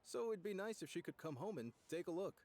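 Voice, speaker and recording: A man's voice: high voice, expressive, quick pace, darkish tone, a little hiss or hum. A man voicing a friendly, easygoing middle-aged restaurant chef in a video game, with a hearty, hospitable manner. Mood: musing. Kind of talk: video game character acting. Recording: faint music behind the voice.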